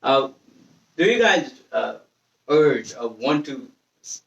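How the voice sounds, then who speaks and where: Man interviewing in halting English. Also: mid-range voice, big pitch swings, vocal fry, fairly bright tone, dull audio. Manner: halting, broken phrasing. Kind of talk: casual interview questions. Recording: echoey room, distant mic.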